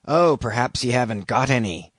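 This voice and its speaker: A man's voice: mid-range voice, animated, moderate pace, fairly bright tone, no echo, studio quality. A man voicing a shabby old sailor in an audiobook, an ominous, derisive stranger who talks insistently in archaic seafaring speech. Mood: cryptic, scornful. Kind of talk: audiobook character reading.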